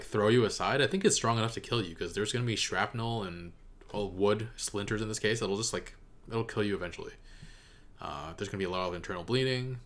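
Casting: young male reaction host, slightly deep voice, lively intonation, casual commentary. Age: young adult. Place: small room indoors. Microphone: desk condenser microphone.

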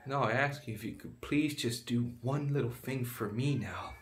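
A man's voice: mid-range voice, moderate pace, a little hiss or hum, natural voice. A man playing a stammering, unhinged failed actor. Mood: menacing. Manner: stammering, repeats words, veers between whispers and shouts. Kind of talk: dramatic monologue.

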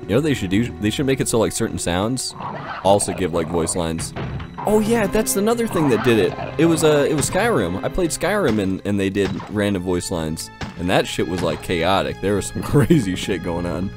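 Male gaming host, low voice with lively inflection. Casual, joking, profane commentary over game music. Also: normal speed. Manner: sweary, joking, slangy.

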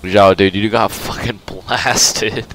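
A young man chatting casually and excitedly with friends while gaming, swearing freely. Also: on the low side, takes it slowly, a little hiss or hum, rough recording. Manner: excitable, laughs often, sweary. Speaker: gamer playing an online shooter with friends. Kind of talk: casual banter during gameplay.

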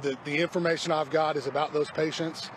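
A middle-aged man, a fire department spokesman, giving a halting outdoor press briefing, stumbling and repeating words when unsure. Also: fairly high pitch, fairly even intonation, rough recording. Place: outdoors by a parking lot. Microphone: news camera mic, close. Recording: outdoor street noise.

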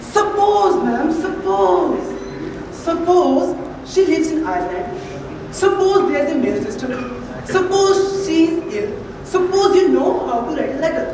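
Indian male actor as earnest, exasperated salesman, high voice, comic stage play, very echoey distant recording. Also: animated, a little slow. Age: young adult. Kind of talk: amateur comic stage acting. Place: auditorium stage, indoors. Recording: echoey noisy auditorium, distant microphone.

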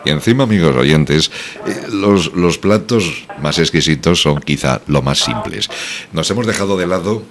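A Spanish radio host giving an emphatic, salesy sponsor promotion with lots of exclamations. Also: male voice, very deep voice, expressive, normal speed. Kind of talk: enthusiastic radio promo chat.

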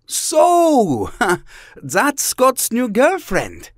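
A man's voice: very high voice, wildly swooping pitch, extremely slow, dry recording, clean studio sound, heavily acted. A man doing a playful mutant.

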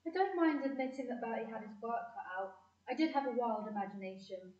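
A woman's voice: fairly high pitch, quick pace, darkish tone, natural voice. A woman playing an imaginative, plucky, bookish girl in an audio play. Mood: reflective. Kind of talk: audio play narration and dialogue. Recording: echoey room, distant, dull sound.

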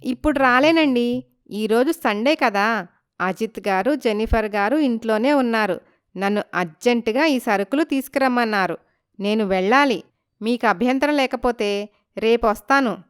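A woman voicing a wronged young village wife in a story, polite and pleading but quietly determined. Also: a little high, moderate pace, clear, resonant tone, dry recording, studio quality, close to an everyday voice. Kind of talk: dramatised story acting.

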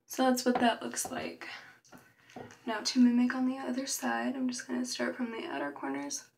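A young woman walks through her makeup routine in a chatty, step-by-step way. Her voice sits fairly high and bright, with a gravelly edge of fry. She's a little back from the camera's built-in mic, and the small bedroom gives it a roomy echo. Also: normal speed, far from the mic. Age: young adult. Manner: chatty, narrates each step while applying makeup. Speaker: makeup vlogger. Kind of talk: casual makeup tutorial. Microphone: built-in camera mic at arm's length.

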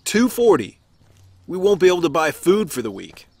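A man's voice: a little high, big pitch swings, normal speed, darkish tone, slightly rough, dry recording, full character voice. A man playing a laid-back, apologetic family man in an audio drama. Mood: weary.

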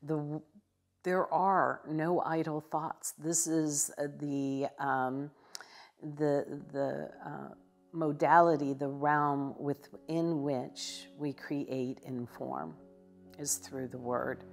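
A woman minister giving a contemplative devotional teaching in a living room, with measured delivery and occasional hesitations. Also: sits low, steady tone, vocal fry, soft background music. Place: living room with a sofa, indoors.

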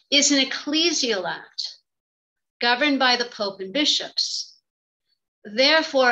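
A middle-aged woman reading a prepared academic lecture in a measured, formal manner on a video call. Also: on the high side, bright, forceful tone, highs cut off. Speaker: theology professor and religious sister giving a talk. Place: indoor office, on a video call. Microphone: computer microphone on a video call.

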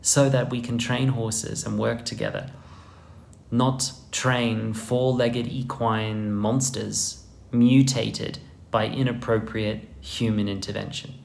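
A man reads a scripted essay with earnest, deliberate emphasis. His mid-range voice has a gravelly edge, and he sits close to the camera in a small indoor room. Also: medium pitch. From Netherlands. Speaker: horse trainer presenting a video essay. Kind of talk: scripted video essay narration. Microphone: close camera framing, head and shoulders.

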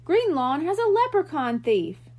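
Woman acting a bright-spirited, high-voiced shopkeeper lady. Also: sing-song, measured pace. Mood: surprised. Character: friendly, kindly woman pet shop keeper.

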